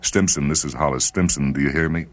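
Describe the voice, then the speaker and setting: A man voicing a calm, detached spaceman who faces death in a steady, matter-of-fact way. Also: a bass voice, quick pace.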